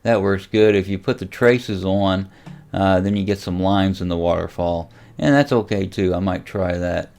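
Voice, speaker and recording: A man hosting a casual, unscripted software tutorial, thinking aloud and hesitating as he goes. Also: low voice, normal speed, vocal fry. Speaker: tutorial host and amateur radio hobbyist.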